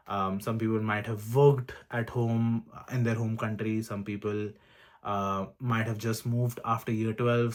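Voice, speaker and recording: Young guy from india vlogging advice, lowish voice, lots of ums, earnest. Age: 20s. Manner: earnest, repetitive listing, frequent ums. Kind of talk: casual direct-to-camera advice talk. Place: room with awards on display.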